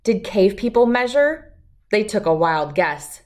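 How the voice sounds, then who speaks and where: A middle-aged woman reading a rhyming picture book aloud to children in an expressive, deliberate, lilting way. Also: medium pitch. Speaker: children's read-aloud host.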